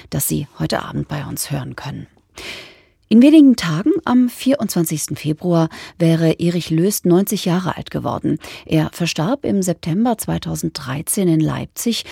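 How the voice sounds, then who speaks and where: A woman presenting on radio, reading a scripted introduction in a measured, factual way in a studio. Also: deep voice, gravelly, dark tone, slightly rough, no echo. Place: dry broadcast studio.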